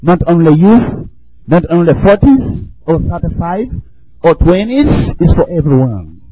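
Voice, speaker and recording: East african guy preaching hard in a church, high voice swooping up and down, shouts a lot. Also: sing-song, a little slow, slight background noise, poor audio. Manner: fervent, shouting, chuckling between lines. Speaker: visiting preacher. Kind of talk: sermon.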